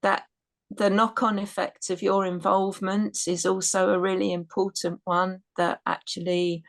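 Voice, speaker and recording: Woman, gravelly low voice, dry close sound, hesitant casual talk. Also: level tone, moderate pace, no room sound. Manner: hesitant, with restarts and laughs. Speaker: citizen science participant. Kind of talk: casual webinar chat.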